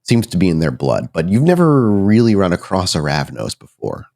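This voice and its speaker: Low-voiced man narrating a horror game. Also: wildly swooping pitch, moderate pace, vocal fry, darkish tone. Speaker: game master, storyteller. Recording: music behind the voice. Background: background music.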